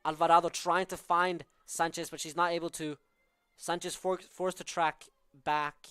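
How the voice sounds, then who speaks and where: A man's voice: a little high, little pitch movement, moderate pace, sharp tone, clean tone, no echo, studio quality. A young man from southern Norway with a Norwegian accent, calling live college soccer with tactical analysis and frequent fillers. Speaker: play-by-play commentator.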